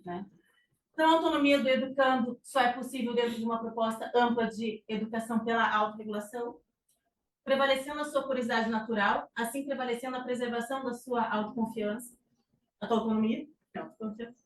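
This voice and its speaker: A woman's voice: a little high, steady tone, fast. A middle-aged Brazilian woman giving an informal psychology talk, with frequent fillers and restarts. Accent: Brazilian Portuguese. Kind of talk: conversational lecture presentation. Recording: echoey room, distant mic, faint noise.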